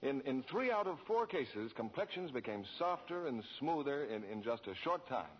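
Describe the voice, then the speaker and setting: A man's voice: mid-range voice, big pitch swings, normal speed, a little hiss or hum. An American man as a radio announcer, delivering scripted 1940s advertising in a polished sales manner. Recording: muffled old radio broadcast.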